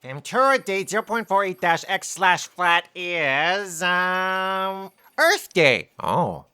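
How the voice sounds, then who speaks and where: A woman playing a dry, knowing, loyal assistant with a deadpan manner in a comedic audio drama. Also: on the low side, slow, clean tone, exaggerated voice. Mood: earnest.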